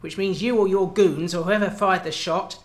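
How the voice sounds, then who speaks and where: An actor plays a male intelligence boss in a radio thriller, furious and sardonic. His fairly high voice is biting and accusatory as he berates his subordinate. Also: fairly even intonation, normal speed, lightly acted. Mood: angry, exasperated. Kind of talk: tense radio drama dialogue.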